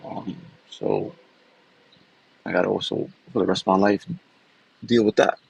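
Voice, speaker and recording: A man's voice: deep voice, fairly even intonation, a little slow, gravelly, husky, poor audio. A man, talking in a loose, casual way and often tagging his sentences with "man" and "you know.". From New York City. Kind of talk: casual personal vlog talk.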